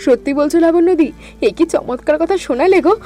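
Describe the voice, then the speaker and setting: A woman's voice: high voice, fast talker, dark tone, faint music behind, natural voice. A woman voicing an eager young village woman who wants to earn her own living, impatient and keen in a cartoon. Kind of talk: cartoon voice acting.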